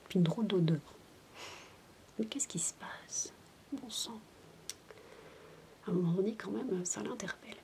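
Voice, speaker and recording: A woman doing soft-spoken ASMR storytelling, playful and whispery, wandering into chatty asides as if to a child. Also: mid-range voice, unhurried, pauses often, a little hiss or hum. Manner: playful babysitter addressing a child listener. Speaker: ASMR roleplay performer.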